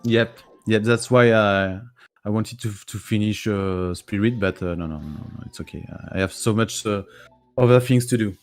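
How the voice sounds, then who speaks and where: Halting French man, laughing. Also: fairly low pitch, soft background music. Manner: halting, laughs often, many restarts. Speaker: race runner guest. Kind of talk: casual post-race chat.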